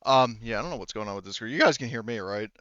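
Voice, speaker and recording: A man's voice: medium pitch, animated, racing, a bit bright, no room sound, highs cut off, faint music behind. A man casually narrating an online tabletop game as game master, hesitant and rambling, with many false starts.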